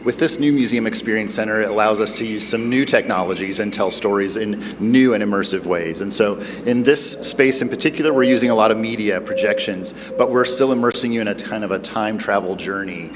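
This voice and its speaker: A man's voice: fairly low pitch, fairly quick, hardly any pauses. A man explaining things in an on-location TV interview, speaking as a museum representative. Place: inside a new museum exhibit space. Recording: echoey large interior with faint background noise.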